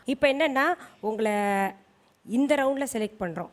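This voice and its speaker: High-pitched woman judging singers. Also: lively intonation, normal speed. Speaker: singing audition judge. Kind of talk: unscripted spoken feedback to contestants. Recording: music behind the voice. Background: music.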